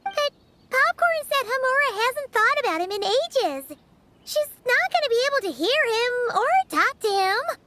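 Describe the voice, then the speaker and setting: A woman voicing a tiny, excitable fairy companion in a video game, squeaky, childlike, blunt and curious. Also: moderate pace, background noise. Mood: alarmed, worried. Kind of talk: animated video game dialogue.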